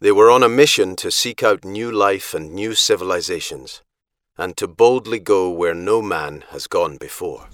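Deep-voiced man narrating an audiobook. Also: normal speed, a bit bright, dry recording, studio quality.

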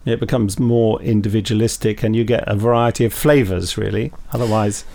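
Casual, creaky-voiced male podcast host. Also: fairly low pitch, fairly even intonation, fairly quick, darkish tone. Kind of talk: casual chat.

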